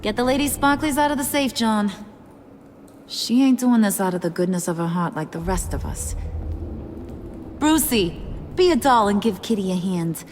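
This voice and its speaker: An actress voicing a sneering, bossy villain in a video game, with slangy, sardonic delivery. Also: female voice, medium pitch, expressive, normal speed, pauses often, lightly acted. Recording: faint background noise.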